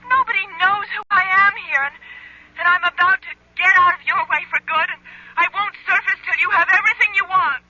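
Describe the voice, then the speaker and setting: Defiant young heiress daughter. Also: female voice, extremely high pitch, a little fast, very noisy. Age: 20s. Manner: sarcastic, defiant. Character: defiant rich young daughter, twenty-five, sarcastic. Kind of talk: 1970s radio drama acting. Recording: dull old radio broadcast.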